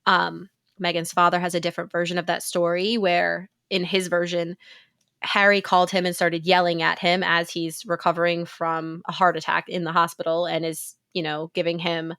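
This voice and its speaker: A woman's voice: lowish voice, little pitch movement, moderate pace, fairly bright tone, clear, resonant tone, dry recording. Congested woman podcast co-host, dry sound. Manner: chatty, laughs often, many fillers. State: congested with a cold. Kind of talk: casual podcast chat.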